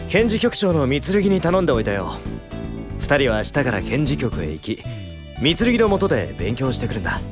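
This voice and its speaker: Easygoing male defense lawyer and mentor, played by a man: lively melodic delivery, casual and encouraging, over music. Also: medium pitch, normal speed, close to an everyday voice. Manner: casual, encouraging, gently teasing. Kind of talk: Japanese audio drama acting.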